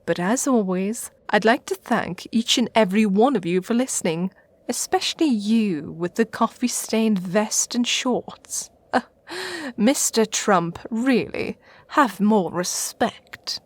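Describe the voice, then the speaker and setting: A woman's voice: mid-range voice, takes it slowly. A woman with an English accent playing a haughty, teasing witch and castle lady in a radio sitcom, with a theatrical, mock-grand manner. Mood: gracious, sly. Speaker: host of a comedy radio show.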